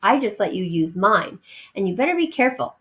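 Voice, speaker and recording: A woman's voice: medium pitch, very animated, fairly quick, close to an everyday voice. A woman voicing a friendly young boy in a storybook, earnest and obliging, a little cautious. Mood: scolding. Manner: earnest, mildly scolding, obliging.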